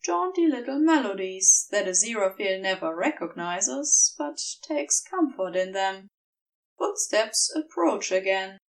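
Female audiobook narrator, lightly distant mic. Unhurried, expressive, with very animated intonation. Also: medium pitch. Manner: measured, expressive storytelling. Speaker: fan fiction audiobook reader. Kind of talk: scripted story narration.

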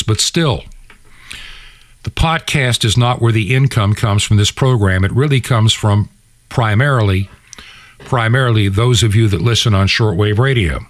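Man, radio host, medium pitch, opinionated monologue, conversational but emphatic, rhetorical asides, dry close-miked sound. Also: a bit bright, no echo.

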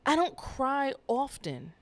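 Woman co-host, very lively pitch, frank and teasing, profane. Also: slow. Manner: frank and teasing, swears freely. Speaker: podcast co-host. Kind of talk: casual chat.

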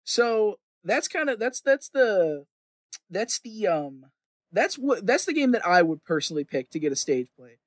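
A podcast host talks in a casual, opinionated ramble. The voice sits at a middling pitch, recorded dry with little room sound. The delivery is emphatic and sweary, circling back to repeat phrases for emphasis. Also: big pitch swings, unhurried, no echo.

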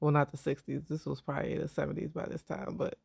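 A woman hosts a true-crime podcast in a relaxed, chatty way, talking straight to her listeners as "y'all." Her voice sits on the low side, and the recording is close and dry, with no room sound. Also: fast, gravelly, breathy edge, dry recording. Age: 30s. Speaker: podcast co-host.

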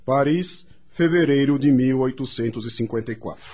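A man's voice: medium pitch, normal speed, very noisy. A man reading scripted scene-setting narration for a radio drama.

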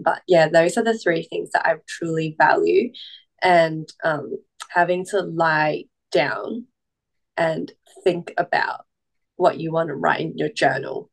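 A young woman whose first language is Cantonese, chatting gently as a podcast guest and pausing often with "um". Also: sits low, vocal fry, breathy edge, rough recording. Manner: gentle, unhurried, many "um" pauses. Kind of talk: casual podcast conversation.

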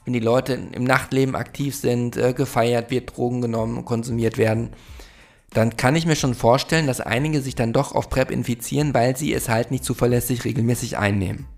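Gravelly-voiced man in his forties musing. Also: mid-range voice, fairly even intonation, a little fast. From Germany. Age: 40s. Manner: reflective, rambling, frequent fillers. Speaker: podcast host. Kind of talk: solo podcast monologue.

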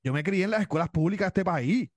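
Cuban guy bragging about his fights, kinda high voice, repeats himself a lot. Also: lively intonation, fast, no room sound, clean studio sound. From Cuba. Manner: boastful, tough-talking, repeats himself. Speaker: pro wrestler guest. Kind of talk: interview storytelling.

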